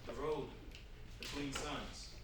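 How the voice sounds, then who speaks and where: A man's voice: mid-range voice, steady tone, takes it slowly. Man narrating a script at a staged reading, real echoey, far away, noisy bg. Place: live staged reading in a room. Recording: distant mic in echoey noisy room.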